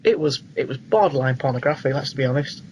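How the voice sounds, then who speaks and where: A man's voice: mid-range voice, animated, quick pace, darkish tone. A young British man co-hosting a film podcast, giving his opinions in casual chat. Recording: clearly noisy background ambience.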